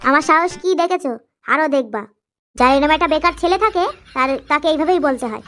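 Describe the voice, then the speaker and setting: A woman plays a furious young housewife from a comedy cartoon, firing off combative retorts in a high, bright voice at breakneck speed. She scolds without pause and gives no ground. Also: faint music behind. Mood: defiant, angry. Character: angry, sharp-tongued daughter-in-law, a scolding housewife. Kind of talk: amateur comedy cartoon acting.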